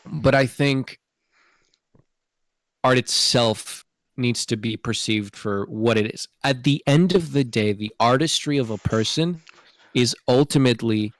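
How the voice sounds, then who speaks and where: A man's voice: medium pitch, a little slow, no room sound. Male podcast host, mid-range voice, casual but earnest explainer, very little room sound. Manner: earnest, explanatory, checks in with "right?". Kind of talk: casual opinion discussion.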